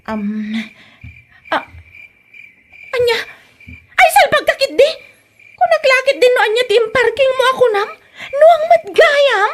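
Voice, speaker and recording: Woman as courted young maiden, Ilocano accent, piercingly high, lively intonation, sassy, incredulous, comedy audio drama. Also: a little slow, pauses often, heavily acted. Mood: incredulous, mocking.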